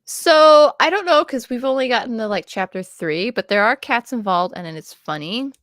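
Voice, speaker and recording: A woman's voice: on the high side, animated, moderate pace, a bit bright, no room sound, clean studio sound. A young woman co-hosting a casual chat, rambling and filling her talk with "like". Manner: rambling, filler-heavy, says "like" constantly. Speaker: co-host. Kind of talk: casual chat between friends.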